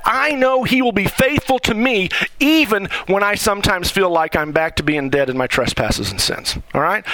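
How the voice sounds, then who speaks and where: A man in his forties preaching a sermon in a chatty, animated way, laughing often. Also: high voice, no room sound, poor audio. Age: 40s. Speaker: pastor.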